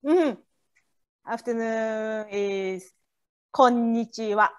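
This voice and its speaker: A woman's voice: a little high, extremely slow, clean tone. A Japanese woman with a Japanese accent, encouraging as she casually teaches phrases bit by bit. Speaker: guest language tutor.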